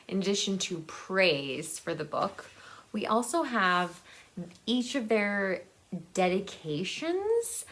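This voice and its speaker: A woman speaks at a slow pace in a medium-pitched voice, like a book vlogger chatting to viewers. Her delivery is casual and unscripted. She thinks aloud, often trailing off and rewording her sentences.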